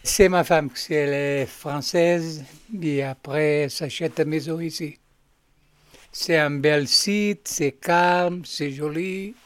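Male interview guest, Portuguese accent, unhurried, brief plain replies, dry close recording. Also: fairly high pitch, steady tone, no echo. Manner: brief, simple answers. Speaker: puppet maker and artist, interview guest. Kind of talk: casual interview answers.

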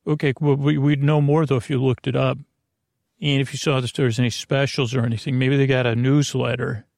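An American man hosting a bedtime podcast, rambling in a soothing, creaky voice with hesitant, meandering repetitions. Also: medium pitch, little pitch movement, brisk, a little mellow, no room sound, studio quality. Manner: hesitant, meandering, repeats himself; lulling, soothing. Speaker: podcast host.

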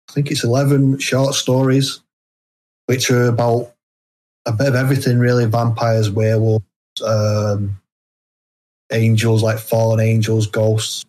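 A British man talking casually as an interview guest, hesitant and low-key as he pieces his thoughts together. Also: a little mellow. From United Kingdom. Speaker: interview guest, indie horror author.

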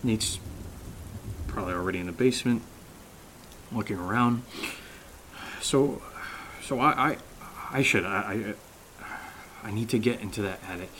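A man's voice: lowish voice, unhurried, long pauses, fairly bright tone, a little hiss or hum, low-quality recording. An actor playing an anxious young man who stammers and mutters to himself in an audio drama. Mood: nervous muttering. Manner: jittery muttering, stammering, self-talk. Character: anxious, rambling young man nervously searching alone.

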